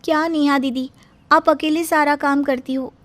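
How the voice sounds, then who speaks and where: A woman's voice: high-pitched, steady tone, moderate pace, a bit bright. A woman playing a young, outspoken, cheeky wife in a cartoon story. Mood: affectionate. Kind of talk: Hindi cartoon story voice acting.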